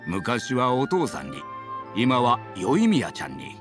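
Casting: kindly old grandfather, mid-pitched, animated intonation, polite homely warmth, anime game. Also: measured pace. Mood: grateful. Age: older. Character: genial elderly village grandfather, courteous and grateful. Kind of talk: Japanese anime game voice acting. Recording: clear music behind.

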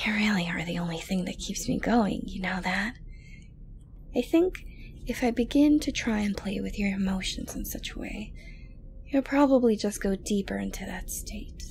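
A woman playing an obsessive, dominant yandere girlfriend in an ASMR roleplay, creepily tender and condescendingly sweet, breathy and often close to a whisper. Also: medium pitch, warm tone, a little hiss or hum. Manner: slow, coaxing, condescendingly sweet. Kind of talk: ASMR roleplay monologue to the listener.

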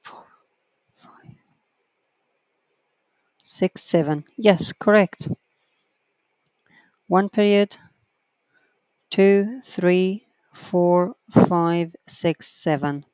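Writing teacher, unhurried and methodical, smooth clear voice, dry close sound. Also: mid-range voice, steady tone, a little mellow, dry recording, rough recording. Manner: reads sentences out slowly and counts corrections aloud. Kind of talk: slow online lesson, reading a textbook aloud.

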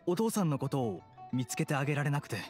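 An earnest male guard in a game, acted by a man, reports formally in a fairly high, animated voice. Music plays clearly behind his dutiful delivery. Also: quick pace, natural voice. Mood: serious, concerned. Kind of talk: scripted game dialogue.

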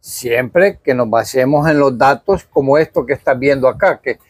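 An older man, a historian being interviewed in a small study, talking casually and pausing to search for words. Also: medium pitch, no echo, studio quality. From Honduras. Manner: halting, searches for words, gestures while talking. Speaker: historian guest. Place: small cluttered study with bookshelves.

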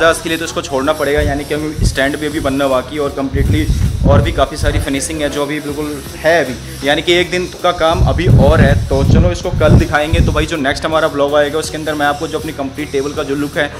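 A man's voice: a little high, echoey room. A young man in his twenties chatting casually to a handheld camera as a vlogger, walking viewers through his room and a carpenter's shop. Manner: chatty, addresses viewers as brother. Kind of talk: casual vlog talk to camera. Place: bare room under renovation, then a carpenter's workshop. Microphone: handheld camera at arm's length.

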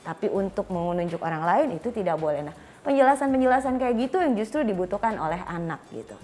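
A woman's voice: mid-range voice, expressive, quick pace, a little mellow, a little hiss or hum. A woman in her thirties with an Indonesian accent, explaining as a guest expert in informal talk and acting out short dialogues. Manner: acts out short parent and child dialogues. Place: small furnished studio set, indoors. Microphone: clip-on lapel mic.

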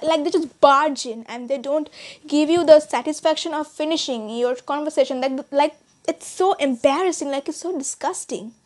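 A woman's voice: high voice, darkish tone. A teenage girl from India with an Indian accent, chatting casually to her subscribers, rambling with many restarts and fillers. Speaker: YouTuber. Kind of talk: casual vlog chat. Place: small bedroom, indoors.

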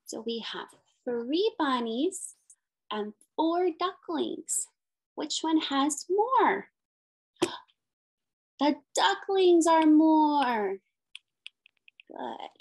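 Slow, high-pitched woman reading to children. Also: animated, low-quality recording. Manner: simple, sing-song phrasing with questions and praise, as to young children. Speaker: special education teacher. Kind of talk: interactive picture-book read-aloud lesson for children.

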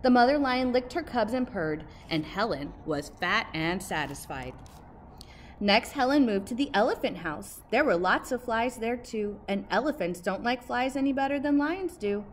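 High-pitched woman reading a children's story. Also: animated. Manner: emphatic, pausing to ask listeners questions. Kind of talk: expressive children's storybook read-aloud. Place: outdoors by a brick building entrance. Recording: faint outdoor background noise.

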